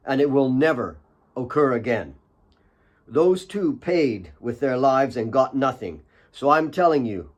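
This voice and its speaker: A man's voice: mid-range voice, a little mellow. A man voicing a commanding, earnest young leader of mine workers, firm and direct, in an audiobook.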